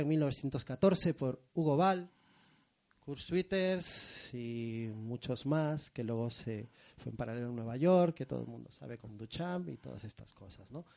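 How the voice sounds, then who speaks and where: A man's voice: mid-range voice, a little mellow. A middle-aged man from Peru hosting a live poetry segment before an audience, moving from theatrical introductions to loose, self-mocking asides.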